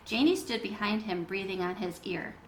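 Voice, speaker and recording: A woman reading a children's story aloud in an expressive, emphatic, teacherly style. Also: mid-range voice, moderate pace. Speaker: children's read-aloud reader. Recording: roomy echo with background noise.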